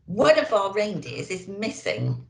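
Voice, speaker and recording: A woman's voice: mid-range voice, big pitch swings, unhurried, vocal fry. A woman voicing a little old male elf, an anxious, bustling helper in a children's storybook. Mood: worried. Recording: roomy echo.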